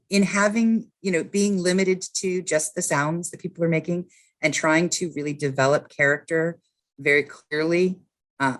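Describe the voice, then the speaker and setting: Woman author chatting as a podcast guest. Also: low voice. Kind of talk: casual podcast conversation. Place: sitting outdoors.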